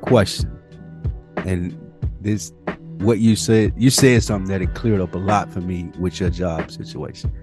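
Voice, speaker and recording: Brief: male podcast co-host, casual, profane, animated swings, music bed. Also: low voice, moderate pace. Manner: animated, swears a lot, sing-song when worked up. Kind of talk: casual chat.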